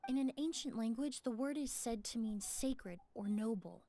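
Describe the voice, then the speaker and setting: Calm poised perfumer. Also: female voice, a little high, dry recording. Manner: measured, polite, explanatory. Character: young woman perfumer, calm and knowledgeable. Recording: game audio with faint music behind.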